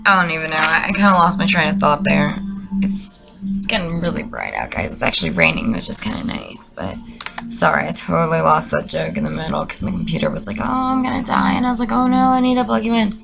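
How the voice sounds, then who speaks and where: Sardonic young woman, creaky voice over music. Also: fairly low pitch, animated, fast talker. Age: 20s. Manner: sweary, sardonic, rambling and exasperated. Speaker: video creator. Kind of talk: casual comedic commentary with read-out messages.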